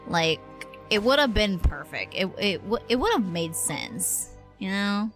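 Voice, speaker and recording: Lively woman livestreamer chatting over game music. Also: mid-range voice, measured pace. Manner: chatty, slangy, lightly swearing. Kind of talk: casual gameplay livestream commentary. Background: game music and dialogue.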